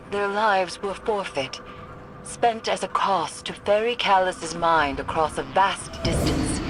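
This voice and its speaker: An actress playing an eerie occult seer in a video game, with a grave, solemn and ominous delivery. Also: female voice, low-pitched. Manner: slow, solemn, deliberate, ominous. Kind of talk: dramatic video game cutscene acting.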